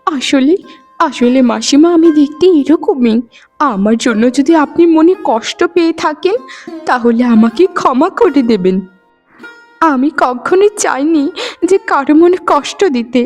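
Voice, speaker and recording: A woman's voice: high-pitched, lively intonation, a little slow, a little mellow, faint music behind. A woman voicing a meek, rejected young village daughter in a melodramatic cartoon, speaking in a plaintive, pleading way. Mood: apologetic, hurt.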